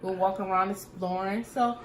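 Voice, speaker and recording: A woman chatting casually as a vlog co-host, informal, slangy and expressive in the way she talks. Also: medium pitch, fairly quick, echoey room, a little hiss or hum. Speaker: vlog co-host, trucker's wife. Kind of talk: casual chat.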